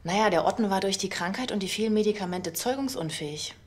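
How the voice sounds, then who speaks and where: An actress playing a brisk, matter-of-fact policewoman explaining things in a TV crime comedy. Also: mid-range voice, noisy background, lightly acted.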